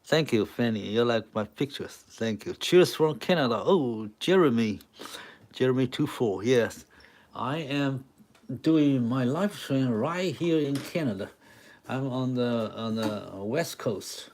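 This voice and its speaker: Slow-talking man with a Taiwanese accent. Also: mid-range voice, lively intonation, darkish tone. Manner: halting, repeats short phrases, talks to viewers. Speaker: live-stream drawing artist and host. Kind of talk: casual live-stream chat with drawing demonstration.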